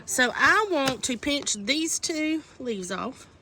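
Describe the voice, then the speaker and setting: A woman's voice: sits high, animated, takes it slowly, sharp tone. A woman with a stuffy, congested voice, chatting casually as she shows how to repot seedlings outdoors in the wind. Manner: chatty, homey and instructive. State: congested from a cold. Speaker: homesteading vlogger. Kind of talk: casual how-to gardening vlog. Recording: faint wind noise outdoors.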